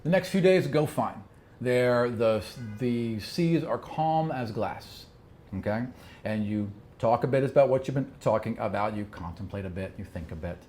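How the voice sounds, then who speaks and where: A man's voice: mid-range voice, animated, moderate pace, mellow. Male game master with background noise. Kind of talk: narrating a tabletop role-playing game, part read, part improvised.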